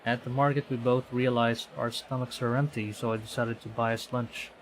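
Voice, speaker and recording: A man's voice: mid-range voice, level tone, normal speed, slight background noise, lightly acted, poor audio. A man reading the first-person narration of an uneasy young heroine in a visual novel. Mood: anxious.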